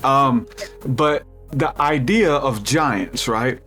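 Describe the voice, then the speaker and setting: A man with a deep voice hosting a podcast, rambling through a spiritual theory in casual chat with lots of restarts. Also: extremely slow, pushed and bright, soft background music. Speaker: podcast host.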